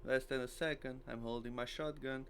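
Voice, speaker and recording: A man's voice: mid-range voice, steady tone, normal speed, fairly bright tone. A man who natively speaks Portuguese, reading a visual novel aloud as a game streamer, with faint noise behind him.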